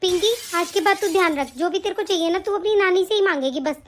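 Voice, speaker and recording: A soft-hearted old grandmother who frets and speaks gently and slowly to her family, as a woman acts her out. Her voice sits high and a little strained. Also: a little hiss or hum. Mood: fretful. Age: older. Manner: gentle, fretful. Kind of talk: comic family skit acting.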